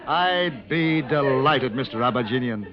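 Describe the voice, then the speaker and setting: A man's voice: mid-range voice, animated, unhurried, natural voice. A man playing a suave, wry, cultured gunman for hire, eloquent and theatrical, in a vintage radio western. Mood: suave, courteous. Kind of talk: 1950s radio western acting. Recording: muffled vintage radio recording.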